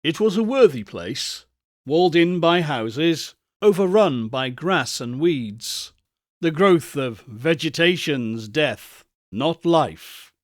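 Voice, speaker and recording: A male narrator reads a Victorian ghost story slowly and theatrically, with a raised voice. His pitch sweeps widely across each sentence. The recording is dry and close. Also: no room sound. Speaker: narrator, audiobook reader.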